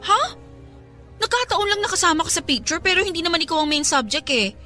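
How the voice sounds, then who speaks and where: A woman's voice: extremely high pitch, fast, soft background music, full character voice. A woman with a Filipino accent acting a smitten, cheeky young photography student who gets flustered in a radio drama. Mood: flustered, protesting. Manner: expressive, stammering when flustered.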